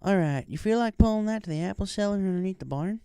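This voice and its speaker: A man's voice: high-pitched, animated, fast, mellow, smooth, no room sound, voice pushed up. A man voicing a hearty female farm pony who gives folksy, encouraging instruction in an audiobook story. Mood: cheerful.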